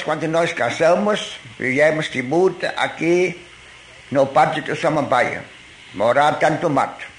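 Slow halting older man in his seventies. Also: a little high, a bit bright, echoey room, a little hiss or hum, low-quality recording. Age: 70s. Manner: slow, halting, repeats words. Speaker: interviewed pioneer settler. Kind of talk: recorded personal testimony.

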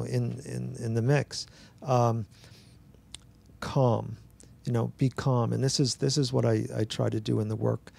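Gravelly-voiced man telling animated stories. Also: mid-range voice. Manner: animated, acts out dialogue, many restarts. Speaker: author at a book talk. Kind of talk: relaxed storytelling to an audience. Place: bookstore event space. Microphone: handheld mic.

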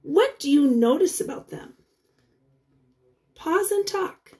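A woman teacher reads a picture book to young children in a high voice that rises and falls widely. She speaks in short, deliberate phrases and stops to ask the listeners questions. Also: expressive, a little mellow. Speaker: teacher reading aloud to children.

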